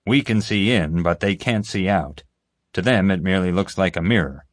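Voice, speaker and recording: Man playing a stern, low-voiced security chief. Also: normal speed, no room sound, clean studio sound, natural voice. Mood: commanding. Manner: curt, commanding, formal. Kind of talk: audiobook character voice.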